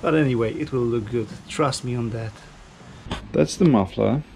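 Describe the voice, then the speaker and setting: A man casually narrating a DIY vlog in an informal, halting, self-deprecating way. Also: mid-range voice, gravelly, darkish tone, a little hiss or hum. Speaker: motorcycle hobbyist, video host.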